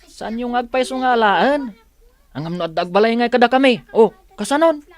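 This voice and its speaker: A woman's voice: fairly high pitch, lively intonation, moderate pace, close to an everyday voice. A woman voicing a small, blunt, nosy village child in a radio comedy-drama, with an Ilocano accent. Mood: argumentative.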